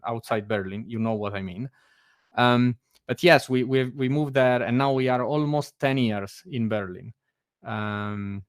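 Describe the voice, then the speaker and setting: A man's voice: medium pitch, dry recording, studio quality. A man from Italy with an Italian accent, chatting casually on a video call and hesitating often with fillers and restarts. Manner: laughs often. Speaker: meetup guest. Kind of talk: casual chat.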